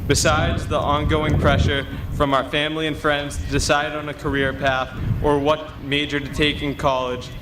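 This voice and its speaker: A man's voice: fairly high pitch, little pitch movement, moderate pace, few pauses, heavy background noise. A young man in his late teens reading a prepared graduation speech as a student.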